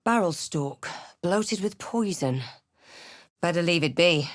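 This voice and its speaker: A woman playing an adventurous, thoughtful fantasy hero in a role-playing game, with a measured, literary and dramatic delivery. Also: fairly low pitch, expressive, no room sound, clean studio sound. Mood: wry, watchful. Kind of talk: scripted game voice lines and narration.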